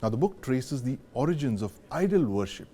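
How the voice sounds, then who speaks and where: A man's voice: mid-range voice, big pitch swings, fairly quick, dark tone, breathy edge. A man with an Indian accent presenting a news show in a scripted style and putting questions to guests.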